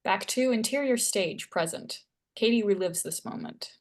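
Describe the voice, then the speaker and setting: A woman's voice: mid-range voice, moderate pace. A woman reading stage directions aloud as scripted narration at a screenplay table read.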